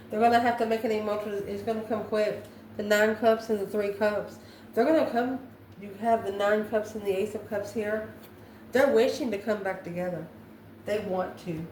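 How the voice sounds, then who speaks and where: A woman's voice: medium pitch, darkish tone. Echoey-room woman reading tarot aloud. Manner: musing aloud, asks herself questions and answers them. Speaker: tarot reader, channel host. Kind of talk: improvised spoken tarot card reading. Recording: echoey room, faint background noise.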